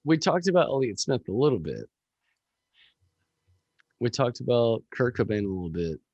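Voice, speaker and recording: A man with a gravelly voice, a musician chatting casually as a podcast guest, rambling with lots of fillers and swearing. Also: medium pitch, very animated, normal speed, a little mellow, no room sound.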